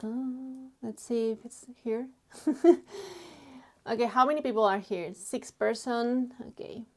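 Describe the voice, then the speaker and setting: Slow-talking woman from Spain. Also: fairly high pitch, fairly even intonation, a little mellow. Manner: halting, many fillers, reads chat messages aloud. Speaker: artist live streaming while drawing. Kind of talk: casual live stream chat.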